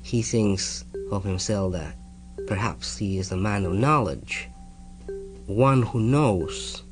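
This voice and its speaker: A man explaining terms off the cuff in a hesitant, halting, scholarly way. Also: on the low side. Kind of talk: unscripted explanation in an archival talk. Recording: clear music laid under speech.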